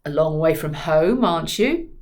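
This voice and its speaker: A woman's voice: deep voice, animated, moderate pace, pitched below the performer's own voice. A woman voicing a tough, taunting male spy in a dramatic novel reading. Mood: guarded, probing. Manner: forceful, taunting. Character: tough, menacing male British spy interrogating a suspect.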